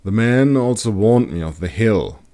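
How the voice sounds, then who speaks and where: A man's voice: lowish voice, expressive, normal speed, dark tone, pitched above the performer's own voice. A man playing a bold, curious expedition leader with an assured, explanatory manner in an audiobook. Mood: explaining.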